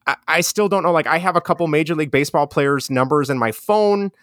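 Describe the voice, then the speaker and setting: Mellow Chicago-accented man, dry close sound. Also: on the high side, fast talker, no echo. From Chicago area. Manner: mellow, rambling with frequent restarts. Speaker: guest, educator and podcaster. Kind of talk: casual conversational interview.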